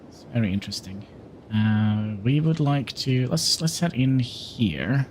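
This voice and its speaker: Guy streaming a game, slow easy talk, reads the dialogue out, faint room noise. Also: mid-range voice, lively intonation, darkish tone. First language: Norwegian. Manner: unhurried, reads game dialogue aloud, chats with viewers. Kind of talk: livestream gameplay commentary.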